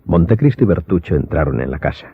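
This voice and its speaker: A man's voice: low-pitched, lively intonation, fast, vocal fry, slight background noise. A man giving scripted literary narration for an audio drama. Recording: muffled, music playing behind.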